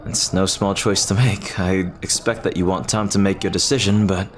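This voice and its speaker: A lovestruck pirate captain, played by a man in an audio roleplay. His voice is low and deep. He speaks earnestly and hesitantly, with tender, formal, old-fashioned phrasing. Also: steady tone, normal speed, lightly acted. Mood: resigned, gentle. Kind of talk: ASMR roleplay acting.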